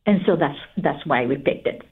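A woman's voice: low voice, lively intonation, normal speed, slightly rough. A middle-aged woman from Guatemala speaking as an interview guest, telling her own story conversationally. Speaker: interview guest, company founder. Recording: slightly distant, narrow phone-like sound.

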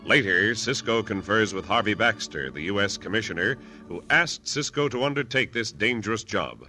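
A man's voice: fairly low pitch, lively intonation, moderate pace, bright, forceful tone. An American man narrating as an old-time radio announcer, in a dramatic scripted style. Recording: vintage radio with faint music.

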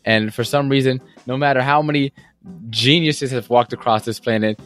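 A young man in his twenties, a guest entrepreneur, telling his story in an interview in an earnest, fast-flowing way with many "you know"s and "right?"s. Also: mid-range voice, a bit bright, soft background music. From United States. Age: young adult.

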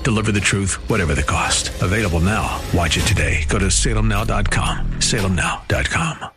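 A man reading a film trailer voice-over in a slow, dramatic style. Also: low-pitched, lively intonation. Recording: loud music bed.

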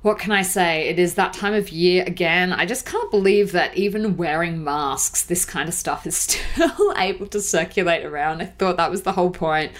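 A woman's voice: fairly low pitch, normal speed, few pauses. A woman hosting a podcast in a casual, chatty way, sounding a bit congested from a cold, with frequent fillers. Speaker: podcast host. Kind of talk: casual solo podcast chat.

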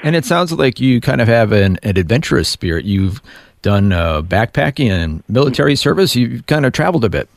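A man's voice: medium pitch, sing-song, brisk, creaky, dry recording, clean studio sound. A man hosting a radio interview, asking guiding questions and giving short affirmations. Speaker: radio show host.

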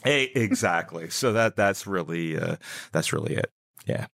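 Low-voiced New York man, halting talker. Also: animated, gravelly, a bit bright, no echo. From New York City, United States. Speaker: podcast guest. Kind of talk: casual conversational interview.

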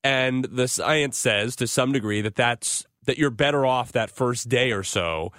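An American man hosts conversational sports radio in a measured, explanatory manner. His voice sits at a middling pitch for a man. The recording is dry, with no room sound around it. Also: fairly bright tone, dry recording.

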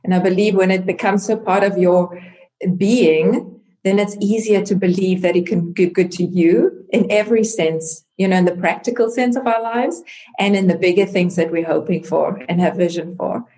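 A woman's voice: mid-range voice, normal speed, mellow. A middle-aged woman from South Africa whose first language is Afrikaans, talking earnestly about her faith as a guest in an interview and laughing easily.

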